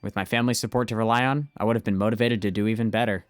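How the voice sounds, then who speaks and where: A man's voice: lowish voice, fairly even intonation, very fast, no echo, clean studio sound, soft background music, natural voice. A man playing an anxious, earnest teenage schoolboy, narrating in the first person for an amateur game dub. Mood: uneasy, reflective.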